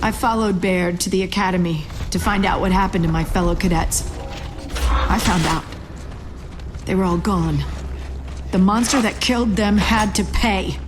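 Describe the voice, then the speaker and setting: An actress playing a grim young cadet who narrates a past mission in a video game. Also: female voice, medium pitch, normal speed, pauses often, over music, close to an everyday voice. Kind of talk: scripted retrospective narration.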